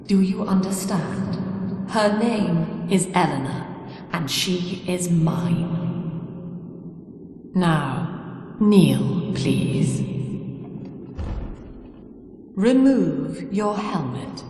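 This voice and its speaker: A slow, coldly gentle psychiatrist. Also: female voice, on the low side, animated, a little hiss or hum. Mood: calm commanding. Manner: measured, soft-spoken, controlled and coldly gentle. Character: calm, cold middle-aged woman psychiatrist and cult leader. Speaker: game character. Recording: reverberant with music behind.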